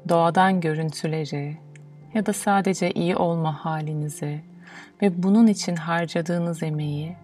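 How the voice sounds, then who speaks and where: Low-voiced woman guiding a meditation. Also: steady tone, darkish tone. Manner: slow, soothing, measured delivery with pauses. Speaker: meditation guide. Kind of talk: guided yoga nidra meditation, instructional. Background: music.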